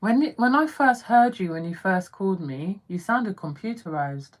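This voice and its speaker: A woman's voice: medium pitch, expressive, moderate pace, low-quality recording. A woman in a casual live chat, talking easily and often laughing, filling in details for new viewers. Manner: chatty, laughs often, jumps in to explain. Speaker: co-host of family channel.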